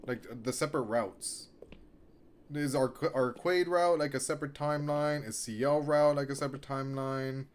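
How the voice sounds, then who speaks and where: A man's voice: medium pitch, steady tone, normal speed. A man streaming a video game, reading aloud and reacting, often restarting his sentences as he thinks aloud.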